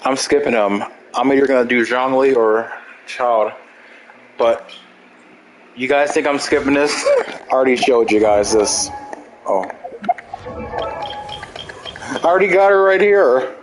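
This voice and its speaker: A man streams a game with casual, off-the-cuff commentary. His voice is mid-pitched. The stream mic carries clear noise, with clicking behind him. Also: a little slow, pauses often, poor audio. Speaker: gaming streamer. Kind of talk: casual live gameplay commentary.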